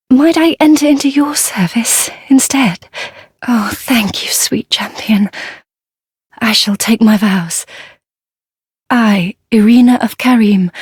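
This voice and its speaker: An actress playing a gentle, devout and fearful blind young nun in a dark fantasy game, speaking softly and humbly in a breathy, whispery voice. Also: female voice, a little high, no echo, studio quality, full character voice. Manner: halting, humble, formal, reverent.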